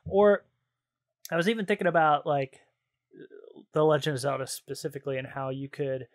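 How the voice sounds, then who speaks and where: A man's voice: fairly high pitch, normal speed, no room sound, clean studio sound. A man chatting casually and enthusiastically as a podcast co-host, in informal slangy phrasing. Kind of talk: casual chat about games and films.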